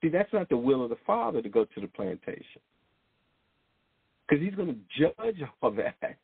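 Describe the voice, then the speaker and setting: Husky man preaching over a tinny line. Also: on the high side, sing-song, moderate pace, no echo. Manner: rambling, repeats words and restarts, blunt slang. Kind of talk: informal preaching monologue. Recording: tinny phone-like sound, dry.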